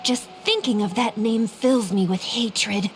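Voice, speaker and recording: An actress playing a hateful, vengeful schemer in an anime video game, seething and menacing. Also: female voice, on the high side, measured pace, slight background noise, natural voice. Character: hateful, vengeful woman plotting against a rival.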